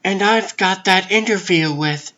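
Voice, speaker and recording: A performer voices a pushy, wry female newspaper reporter for a comic dub. The delivery is insistent yet unhurried, sitting at a middle pitch. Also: sharp tone, dull audio.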